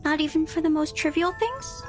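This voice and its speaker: A woman's voice: sits high, animated, brisk, soft background music. An actress playing a sweet, eager, inquisitive young girl in a video game. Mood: eager, curious. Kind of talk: English video game character acting.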